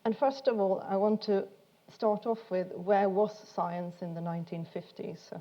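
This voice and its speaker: A woman's voice: mid-range voice, steady tone, unhurried. A woman presenting an informal science talk. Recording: dull, muffled talk recording.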